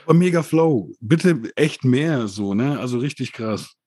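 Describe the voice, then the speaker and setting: A man co-hosting a casual rap review chat, relaxed and laughing often, who grew up bilingual. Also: mid-range voice, unhurried, slightly rough, no echo, clean studio sound. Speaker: podcast co-host reviewing rap releases.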